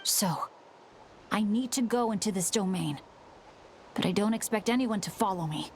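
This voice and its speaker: A woman voicing an earnest, selfless teenage boy adventurer in a video game, boyish and halting. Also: mid-range voice, slight background noise, lightly acted. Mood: earnest, resolute. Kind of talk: video game character acting.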